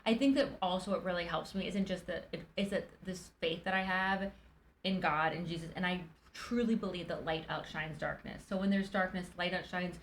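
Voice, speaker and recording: A woman hosting a podcast, upbeat and animated, laughing often in casual conversation. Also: on the low side, brisk, a little hiss or hum. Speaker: podcast host, business owner.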